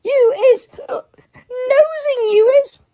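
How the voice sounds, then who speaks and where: A woman voicing a drunk, weepy female house elf who squeaks and slurs through tears. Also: slow, mellow. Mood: tipsy tearful. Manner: squeaky, slurred, hiccuping and sobbing.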